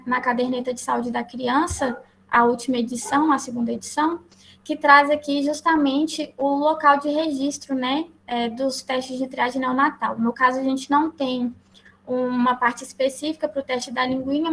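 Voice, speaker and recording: A woman with a Brazilian accent presenting slides as a lecturer, explaining in a halting way. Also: sits high, a bit bright, poor audio. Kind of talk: slide presentation to health professionals. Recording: clear background noise.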